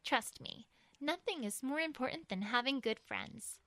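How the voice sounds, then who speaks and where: Woman doing a caring young mare for an audio story, high voice, patient and reassuring, very dry sound. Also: animated, moderate pace, dry recording, exaggerated voice. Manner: reassuring, gently instructive. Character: caring, sensible young female pony guardian. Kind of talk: acted audiobook dialogue.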